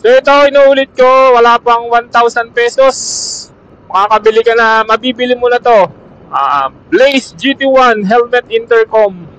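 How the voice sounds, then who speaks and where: A man's voice: very high-pitched, normal speed, fairly bright tone. A man with a Filipino accent chatting casually as a motovlogger to fellow riders, recorded through a helmet intercom mic while out riding. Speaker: motovlogger reviewing gear. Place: on a motorcycle outdoors on a road. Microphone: helmet intercom used as external camera mic. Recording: dull muffled helmet intercom mic over Bluetooth.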